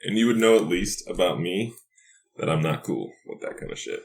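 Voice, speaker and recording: A man with a deep voice plays a tabletop role-playing game. He talks casually, with very lively intonation. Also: moderate pace, vocal fry.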